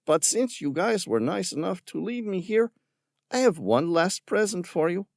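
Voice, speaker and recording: A man's voice: high voice, animated, normal speed, gravelly, a little mellow, no echo, clean studio sound, pitched above the performer's own voice. A man putting on a sneering, sarcastic villain. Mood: mocking. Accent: affected Spanish-tinged accent. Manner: sarcastic, patronizing, mocking. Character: sneering, greedy male villain caretaker with gun. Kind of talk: audiobook character voice.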